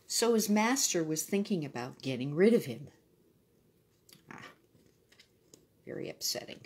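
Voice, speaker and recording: A woman tells a children's story with very animated, swinging intonation. Her voice has a husky edge and she drops in brief casual asides. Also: sits low, measured pace.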